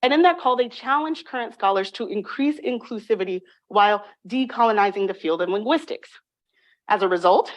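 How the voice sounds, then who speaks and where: A woman lecturing on linguistics in a measured, articulate way, reading prepared remarks with emphatic phrasing. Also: medium pitch, poor audio.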